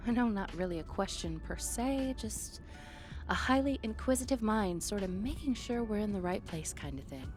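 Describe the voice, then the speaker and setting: A woman's voice: medium pitch, expressive, normal speed, a little mellow, big-room sound, faint music behind, lightly acted. A woman playing a flirty, playfully sarcastic date companion in a one-sided ASMR roleplay, with dry, hesitant asides. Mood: curious, puzzled.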